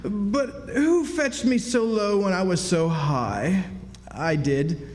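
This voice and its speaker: A man's voice: sits high, lively intonation, slow, darkish tone. A man playing a florid, theatrical con-man drifter in an old-time radio drama, folksy and grandiose. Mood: theatrical self-pity. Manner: folksy, grandiose, hammy delivery. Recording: live stage in echoey hall.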